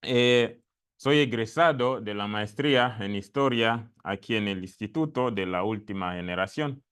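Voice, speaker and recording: A man's voice: lowish voice, unhurried, fairly bright tone, clear, resonant tone. A man from the Democratic Republic of the Congo, a doctoral student, speaking on an academic panel in Spanish, his second language, with frequent fillers and restarts. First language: not Spanish; spoke Italian for years before. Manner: hesitant, many fillers and restarts. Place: lecture room at a university event.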